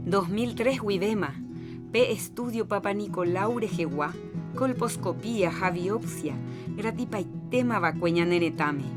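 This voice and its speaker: A woman presenting a scripted public health segment on a radio program. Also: mid-range voice. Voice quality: clear, informative reading. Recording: music bed under the voice.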